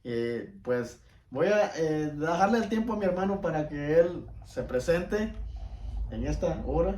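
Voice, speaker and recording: A man's voice: on the high side, lively intonation, measured pace, darkish tone. A man hosting a church interview with an informal religious introduction, hesitant and often repeating his words. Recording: roomy echo, distant microphone.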